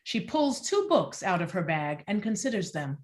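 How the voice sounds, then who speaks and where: A woman reading stage directions as scripted narration in a measured, descriptive way. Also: medium pitch, sing-song.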